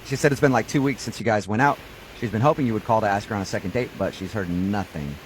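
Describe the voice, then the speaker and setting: Guy radio host, talks really quick, chatty and nosy, bit of hiss behind him. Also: lowish voice, rough recording. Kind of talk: casual phone prank-call radio chat.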